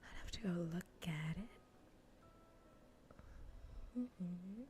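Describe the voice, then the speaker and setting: A woman streaming in a soft, breathy, often whispered ASMR voice, chatting informally and playfully close to the mic. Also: lowish voice, slow, a little hiss or hum. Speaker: streamer hosting a live cozy gaming broadcast. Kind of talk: soft-spoken ASMR casual chat. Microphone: close ASMR microphone.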